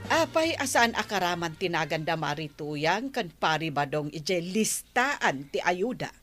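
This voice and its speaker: A woman's voice: on the low side, very animated, a little slow, a bit bright, soft background music. A woman playing a nagging, questioning villager in an Ilocano comedy radio drama. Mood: challenging.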